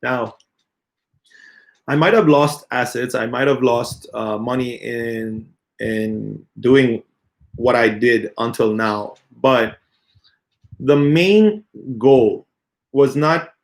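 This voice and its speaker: A man in his thirties, a company CEO, giving a halting, earnest unscripted answer full of filler sounds. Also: medium pitch, creaky. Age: 30s. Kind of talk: unscripted spoken answer in an AMA.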